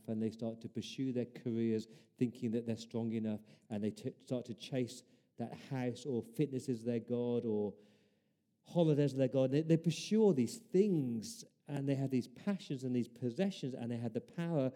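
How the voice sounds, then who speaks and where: A man's voice: mid-range voice, mellow. A man preaching a sermon as pastor, earnest, building his points through repeated rhetorical questions.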